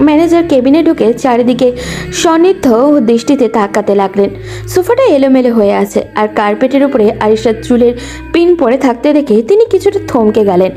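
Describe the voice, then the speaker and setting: High, mellow female story narrator. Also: lively intonation, fairly quick, faint music behind. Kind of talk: scripted romantic story narration.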